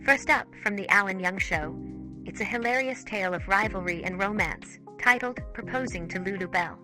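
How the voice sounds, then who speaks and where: Music plays clearly behind a woman narrator. She has a medium-pitched voice and reads a scripted introduction as a presenter. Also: normal speed, low-quality recording. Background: loud background music.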